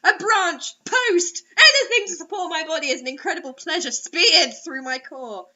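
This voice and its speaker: Very high-pitched, theatrical woman reading stories. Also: normal speed, bright, forceful tone. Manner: theatrical, sarcastic. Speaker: host reading bad online fiction aloud. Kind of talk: dramatic story reading with mocking asides. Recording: dull lo-fi recording.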